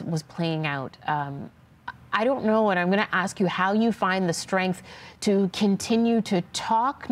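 A middle-aged woman hosting a broadcast interview, building her questions in measured phrases. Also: medium pitch. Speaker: TV host, interviewer. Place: TV studio.

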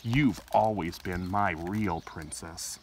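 A man voicing the gentle, reassuring parents of a young girl in a storybook, soft and tender. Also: low voice, slow, voice pushed down. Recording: distant mic, faint outdoor noise.

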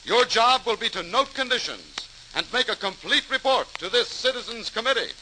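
An earnest male doctor fighting for public health in an old radio play. His voice is high and very sharp, his phrasing formal and urgent. Faint noise sits on the aged recording. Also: lively intonation, moderate pace, full character voice, rough recording. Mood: resolute. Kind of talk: 1940s radio drama acting.

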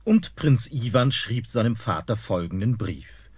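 Expressive male fairy-tale narrator. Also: fairly low pitch, unhurried, husky, no room sound.

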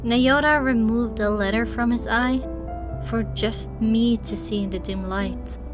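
A woman narrates a first-person story in a fairly high voice. She takes her time, measured and dramatic, while music plays clearly behind her. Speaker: audiobook narrator.

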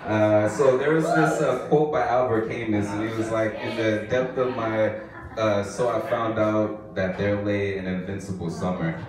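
A man's voice: on the low side, fairly even intonation, moderate pace. A young man talking casually to a small cafe audience into a handheld mic between songs, loose and chatty. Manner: loose, chatty, addresses the crowd as y'all. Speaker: rapper performing live. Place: small indoor cafe stage. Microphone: handheld mic, filmed from a distant camera. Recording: echoey room, distant camera, loud backing beat.

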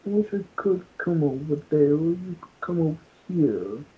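A woman's voice: sits low, expressive, moderate pace, breathy edge, narrow, tinny audio, heavily acted. A woman playing a doting, needy romantic partner who coos in an amateur ASMR couple roleplay, on played-back video audio. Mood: pleading, clingy.

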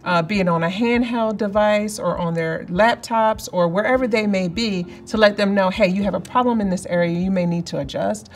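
A middle-aged woman in a corporate interview, explaining in a measured way with some fillers. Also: mid-range voice, a little hiss or hum. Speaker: company representative. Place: office interview set, indoors.

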